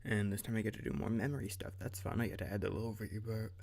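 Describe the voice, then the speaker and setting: A man's voice: on the low side, little pitch movement, fast, vocal fry, a little mellow. A man talking casually to himself as a voice actor between recording takes. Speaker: audio drama producer and voice actor.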